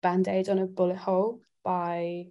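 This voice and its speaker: A woman from Italy with a slightly low-pitched voice, talking as a guest in a casual interview. She is chatty and giggly, with somewhat hesitant phrasing. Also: flat delivery, moderate pace, muffled recording. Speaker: interview guest, singer-songwriter.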